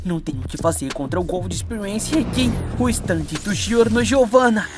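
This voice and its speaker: A man's voice: high voice, moderate pace, natural voice. A man voicing an earnest, analytical young anime character, explaining and arguing emphatically in a scripted debate. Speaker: co-host of a character ranking debate. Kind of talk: animated scripted debate commentary. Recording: music behind the voice.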